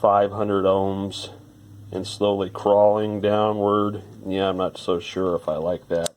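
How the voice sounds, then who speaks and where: A man with a very low voice narrates a hands-on electronics repair in an informal way. He thinks aloud as he works, wandering a little from point to point like a hobbyist explaining the job. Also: flat delivery, slow pace, darkish tone, slight background noise.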